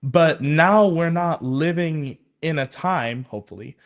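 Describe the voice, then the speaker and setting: A man's voice: a little high, a little slow. A man explaining things in a conversational talk show style, emphatic and illustrating his points with acted-out examples.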